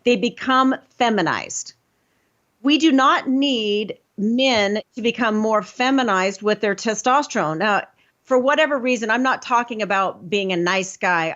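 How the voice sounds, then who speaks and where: A woman hosting a health talk show, explaining in an emphatic, lively way. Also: medium pitch. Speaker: host. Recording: slightly dull lo-fi sound.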